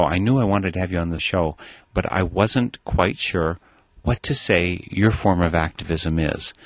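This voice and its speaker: A man hosting a talk show, introducing his guest and asking measured, thoughtful questions. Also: sits low, a bit bright, no room sound. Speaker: radio show host. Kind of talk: interview hosting.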